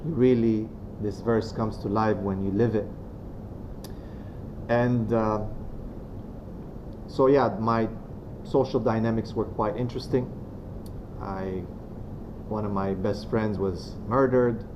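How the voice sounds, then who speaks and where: Low-voiced man in a muffled, noisy recording. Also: fairly even intonation. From Romania. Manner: soft-spoken, unhurried, many pauses and fillers. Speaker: guest speaker. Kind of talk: religious talk to students. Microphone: venue microphone. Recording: dull lo-fi sound with clear background noise.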